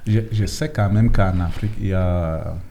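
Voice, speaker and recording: A man with a deep voice hosts an interview podcast. His tone is dark and mellow, and he puts questions informally, often checking back with a short tag. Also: normal speed, gravelly, slight background noise. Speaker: host.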